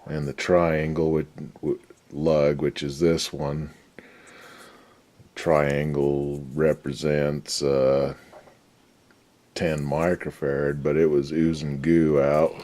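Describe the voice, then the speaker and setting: Very deep-voiced, slow-talking man at a workbench. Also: flat delivery, mellow. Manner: rambling, profane, folksy. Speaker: radio restorer. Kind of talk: casual workshop commentary.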